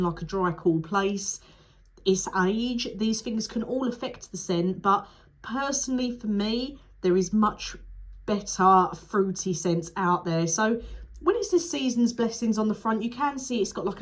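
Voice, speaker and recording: A young woman talking through a candle review in a chatty, frank way. Her voice sounds nasal and blocked up from a cold, with the odd sniffle. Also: medium pitch. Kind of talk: casual product review vlog.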